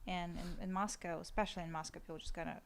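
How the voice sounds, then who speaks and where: A woman's voice: lowish voice, little pitch movement, racing. A woman from Ukraine and Russia with a Russian accent, talking casually as a guest in an interview. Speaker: podcast guest, actress.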